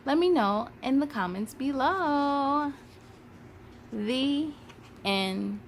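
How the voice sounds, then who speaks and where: A woman hosting a children's read-aloud, reading expressively and speaking to young viewers in an animated, emphatic way. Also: high-pitched, drawn-out words, background noise.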